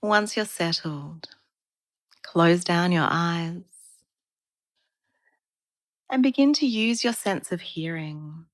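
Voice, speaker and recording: Slow, low-voiced woman guiding meditation. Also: no room sound. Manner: calm, soothing, unhurried with long pauses. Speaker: yoga therapist guiding a relaxation. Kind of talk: guided meditation narration.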